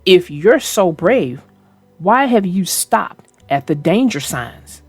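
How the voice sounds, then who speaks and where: A woman reading a children's storybook aloud in a slow, expressive way, then chatting casually about it. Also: fairly low pitch, husky, no echo, soft background music. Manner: slow, expressive read-aloud with character voices. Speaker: storybook reader and co-host.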